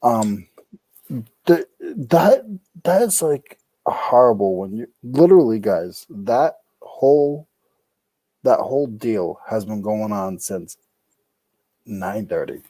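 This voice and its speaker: A man's voice: lowish voice, sing-song, takes it slowly, dark tone, no room sound. A man from New England co-hosting a casual podcast hangout, chatty and jokey, often trailing off mid-sentence. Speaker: podcast co-host. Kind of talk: casual chat while listing items.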